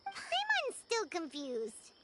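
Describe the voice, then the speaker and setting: A woman voicing a small, excitable, easily confused fairy companion in a video game, with a squeaky childlike voice. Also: slow pace, very noisy, exaggerated voice. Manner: squeaky, refers to self in third person. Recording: music clearly under the voice.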